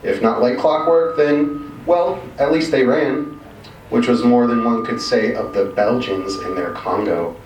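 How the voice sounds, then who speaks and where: A man with a medium-pitched voice performs a literary short story. His delivery is expressive, measured and wryly emphatic. The sound is roomy, with some faint noise behind him. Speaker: reader of a short story at a live reading. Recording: roomy, distant mic, faint background noise.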